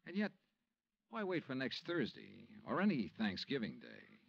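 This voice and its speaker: Casting: world-weary radio detective hero, wry and earnest, lively pitch swings, on a muffled old broadcast recording. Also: male voice, moderate pace, gravelly, no room sound, exaggerated voice. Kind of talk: 1950s radio detective drama acting. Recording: dull vintage radio recording.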